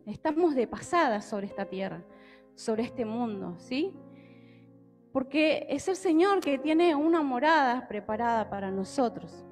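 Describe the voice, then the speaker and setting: Lively young Argentine woman preaching in a hall. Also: high-pitched. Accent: Argentine Spanish. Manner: lively and conversational, often checking with listeners. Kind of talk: sermon with Bible reading. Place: church hall with a stage, indoors. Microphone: handheld mic. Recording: long church hall reverb.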